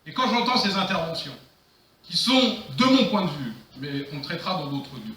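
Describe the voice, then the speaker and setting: Male mayor, sharp and emphatic political rebuttal, declamatory, roomy sound with faint noise. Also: high voice, expressive, normal speed, distant mic. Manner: emphatic, declamatory, rhetorical repetition. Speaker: mayor chairing the council. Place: council meeting room. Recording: echoey room, faint noise, clicks.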